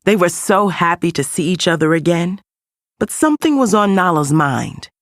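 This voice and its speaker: A woman reading a scripted children's read-along story as an expressive storyteller. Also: fairly low pitch, moderate pace, no room sound, clean studio sound. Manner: expressive storytelling with character voices. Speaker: storybook narrator.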